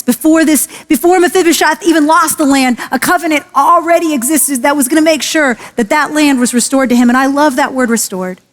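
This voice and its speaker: A woman pastor preaching a sermon, earnest and emphatic, slowing and lowering her voice on key phrases. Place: church sanctuary. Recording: long hall reverb.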